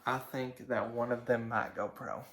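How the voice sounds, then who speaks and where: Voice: mid-range voice, fairly even intonation, unhurried, fairly bright tone. A student giving short, conversational answers to a teacher's questions in a lesson.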